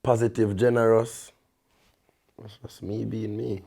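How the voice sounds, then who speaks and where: A young man with a Jamaican accent and a deep bass voice, talking in relaxed patois as a guest artist, often tagging his sentences with a check-in. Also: a little slow, gravelly, husky. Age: young adult. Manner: laid-back, peppers talk with "you see me?".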